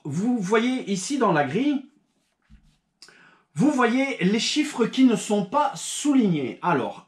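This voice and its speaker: A man hosting his own hobby video channel, recovering from a cold, talking casually to subscribers with many fillers and restarts. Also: high-pitched. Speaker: channel host. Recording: echoey room.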